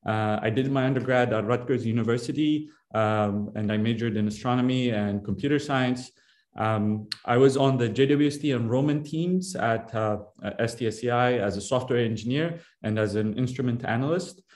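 A man's voice: medium pitch, moderate pace, a little mellow. A young man from Ethiopia, a grad student, giving a friendly seminar talk over a video call with frequent fillers.

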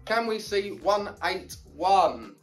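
English man, property auctioneer, brisk and upbeat, fairly high voice, a bit off-mic, faint music. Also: big pitch swings, recorded from a distance. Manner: brisk, upbeat, encouraging bidders. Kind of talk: live auction calling. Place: small auction room with banner backdrop. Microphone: podium mic, filmed from a distance.

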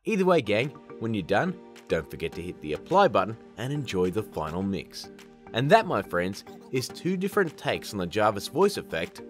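Animated middle-aged man hosting a tutorial. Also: on the low side, moderate pace, faint music behind. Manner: chummy direct address to viewers. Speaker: tutorial host. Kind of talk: casual, scripted video tutorial to camera.

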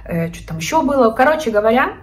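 A woman's voice: on the high side, sing-song, quick pace, a little hiss or hum. A woman in her thirties chatting casually to the camera from her living room, talking expressively and gesturing with her hands. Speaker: vlogger, psychologist. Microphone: camera at desk distance.

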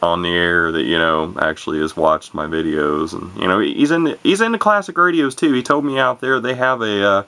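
A man rambling casually to camera in a flat, monotone way, with many fillers and restarts, and words run together. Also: moderate pace, hardly any pauses. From Illinois, USA. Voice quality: low gravelly voice, talks monotone. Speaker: YouTuber showing vintage radios. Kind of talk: casual unscripted talk to camera.

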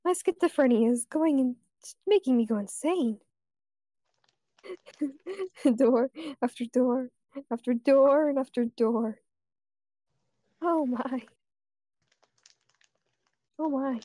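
A woman's voice: high voice, slow, pauses often, warm tone, no room sound, clean studio sound. A woman voicing an anxious, foul-mouthed jester losing her mind in a comic cartoon fandub, repeating herself as she mutters. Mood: unraveling. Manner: swearing, repetitive, muttering to herself.

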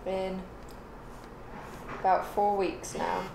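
A woman's voice: mid-range voice, flat delivery, takes it slowly. An older woman chatting casually as a livestream guest, rambling thoughtfully and correcting herself as she goes. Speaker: guest, the host's mother. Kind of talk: casual chat on a craft livestream. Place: living room or home craft room. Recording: echoey room, clear background noise.

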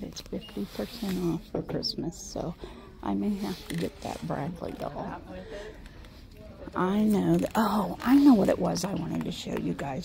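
A woman's voice: lowish voice, gravelly, noisy background. A woman with a Midwestern American accent vlogging casually as she shops, chatty and often dropping to a whisper, filmed on a handheld phone in a large indoor store. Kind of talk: casual shop-with-me chat. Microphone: handheld phone camera.

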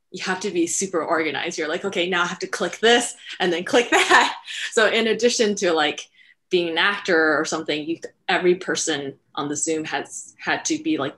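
Low-voiced woman hosting a casual Q&A. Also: a bit bright. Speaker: writer-director hosting a Q and A. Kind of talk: casual, unscripted Q and A talk. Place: indoor room at home, on a video call. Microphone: headset on a video call.

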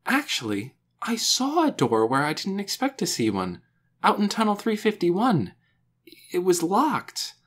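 A woman voicing a serious, thoughtful young boy in a children's audiobook, soft-spoken, hesitant and doubtful. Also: lowish voice, wildly swooping pitch, measured pace, pitched above the performer's own voice, exaggerated voice. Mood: doubtful, musing. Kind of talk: children's audiobook character dialogue.

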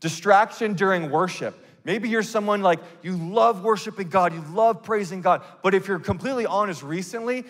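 A man in his thirties preaching a sermon on a church stage into a handheld mic, earnest and conversational, with quick bursts and restarts. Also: on the high side, big-room sound. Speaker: pastor.

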